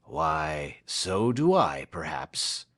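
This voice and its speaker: A moody, fretful ruined gentleman, read by a man, low and slow with a brooding edge. His lines are quiet and impatient. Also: sing-song, full character voice. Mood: defiant.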